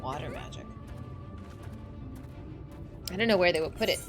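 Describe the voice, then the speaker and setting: A young woman streaming a game, chatting excitedly with a slightly squeaky voice, over faint game music. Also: normal speed. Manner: excitable, chatty, laughing often. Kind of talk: casual chat while playing a game.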